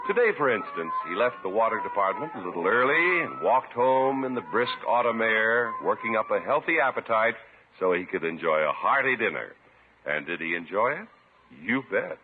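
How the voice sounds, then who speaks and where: A man's voice: lowish voice, lively intonation, normal speed. An American man reading scripted sponsor and narration copy as a 1950s radio announcer. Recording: tinny 1950s radio recording with music.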